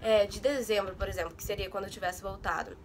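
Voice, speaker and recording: A woman's voice: mid-range voice, steady tone, fast, slight background noise. A young woman from Brazil in a casual vlog, chatting to her viewers and often adding little check-in words. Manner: chatty, fills with "sabe?" and "gente". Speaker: vlogger. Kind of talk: casual chat to camera.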